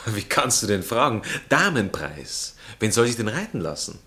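A man's voice: fairly low pitch, sing-song, moderate pace, bright, forceful tone, heavily acted. An actor playing a snobbish, condescending Austrian baron and racing enthusiast in a theatrical radio comedy, nonchalant and drawling in his interjections. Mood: smug, leisurely. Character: snobbish aristocratic baron, horse-racing fiancé, condescending and jealous.

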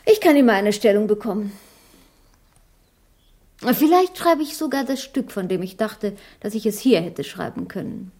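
An actress playing a bitter, sarcastic wife in a 1980s radio drama. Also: female voice, mid-range voice, animated, normal speed, darkish tone. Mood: bitterly sarcastic. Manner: biting, sarcastic. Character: bitter, scornful wife, failed playwright.

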